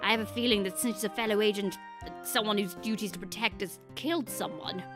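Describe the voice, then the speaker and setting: A woman's voice: medium pitch, fast, over music, natural voice. A woman voicing a worried, kindly male penguin inventor and director in a game story, hesitant and apologetic. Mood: pensive.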